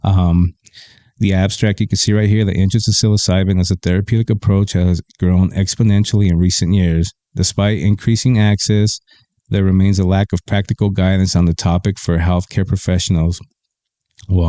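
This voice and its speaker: A man with a deep, bassy voice, low in pitch with a dark, mellow and smooth tone. He hosts a podcast in a casual, laid-back way, airing firm opinions. He is recorded dry and close on a desk mic. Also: flat delivery, moderate pace, no echo. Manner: laid-back, opinionated, reads aloud from a web page. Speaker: podcast host. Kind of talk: casual livestream talk.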